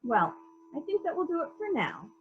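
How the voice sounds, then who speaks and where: A woman playing a brisk, suspicious police investigator questioning witnesses in a dramatic murder mystery reading. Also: high-pitched, very animated, lo-fi sound, slight background noise. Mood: sceptical questioning. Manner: probing, businesslike, sceptical. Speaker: library staff member reading a part.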